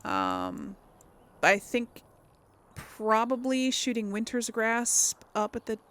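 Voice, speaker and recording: A woman's voice: on the high side, wildly swooping pitch, slow, a bit bright. A woman giving casual let's play commentary as host of a gaming video, chatty and rambling as she thinks aloud, often hesitating and correcting herself.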